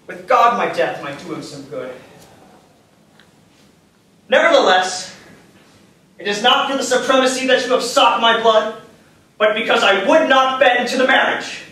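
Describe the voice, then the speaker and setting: A man on stage playing a devout, condemned statesman who speaks in measured, formal oratory with dignity and defiance. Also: extremely high pitch, bright, forceful tone, exaggerated voice. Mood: impassioned, defiant. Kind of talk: stage play acting. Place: theatre stage, indoors. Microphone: far camera in audience. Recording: echoey theatre, distant mic, faint noise.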